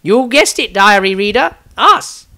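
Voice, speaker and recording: Man doing an animated, high-voiced eccentric wizard. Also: measured pace, heavily acted. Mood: wry, grudging. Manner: theatrical, rambling, wry. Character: eccentric, grumbling, self-satisfied male wizard adventurer diarist.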